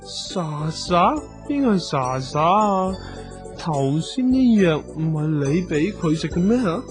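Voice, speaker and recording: Boyish besotted gentleman, animated and naive, Hong Kong Cantonese, radio comedy over faint music. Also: high voice, a little slow. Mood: puzzled. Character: excitable boyish gentleman besotted with a bossy magic girl. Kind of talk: radio comedy drama acting.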